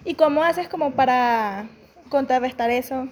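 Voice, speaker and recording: A woman with a somewhat high voice asking gentle, probing interview questions, pausing on fillers as she shapes each one. A faint hiss of noise sits under her voice.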